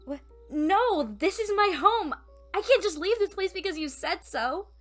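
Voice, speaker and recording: A woman's voice: extremely high pitch, expressive, unhurried, faint music behind. An actress playing a guarded, sardonic young woman in an audio roleplay drama, with a dry, exasperated delivery. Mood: upset, disbelieving. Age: young adult.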